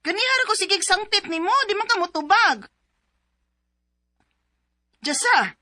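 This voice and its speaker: A woman's voice: very high-pitched, measured pace, no echo, clean studio sound, full character voice. A woman playing a married mother of two, doting but easily exasperated, in a radio drama. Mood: alarmed, calling. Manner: expressive, motherly, scolding at times. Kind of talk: radio drama acting with letter narration.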